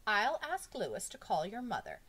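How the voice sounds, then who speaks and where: A woman voicing a brisk, exasperated woman schoolteacher in a children's storybook, firm and matter-of-fact. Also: medium pitch, wildly swooping pitch, a bit bright, breathy edge, voice pushed up, exaggerated voice. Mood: firm, practical.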